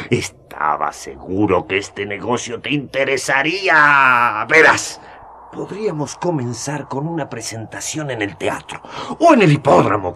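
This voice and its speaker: Nicodemo, a scoffing old scholar. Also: male voice, medium pitch, pushed and bright, slight background noise. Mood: sly. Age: older. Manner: mocking, worldly, laughing. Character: older male scholar, scoffing money-minded elder. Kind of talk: radio drama acting.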